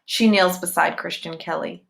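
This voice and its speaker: A woman reading a children's novel aloud in deliberate, simple phrases, as if telling it to young listeners. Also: fairly low pitch, fairly even intonation, vocal fry, fairly bright tone. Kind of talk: read-aloud storytelling with short asides to listeners.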